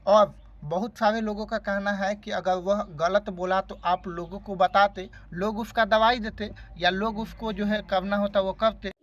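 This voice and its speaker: Interviewer of unclear sex, Bhojpuri-influenced Hindi, mid pitch, insistent, probing, repetitive questioning. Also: steady tone, moderate pace, lo-fi sound. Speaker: interviewer for an online news channel.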